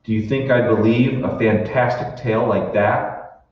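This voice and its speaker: A man's voice: lowish voice, steady tone, moderate pace, highs cut off, lightly acted. A man playing a stubborn, desperate everyman hero in an old-time radio drama, speaking with urgency. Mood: defiant disbelief. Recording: echoey hall, distant, noisy.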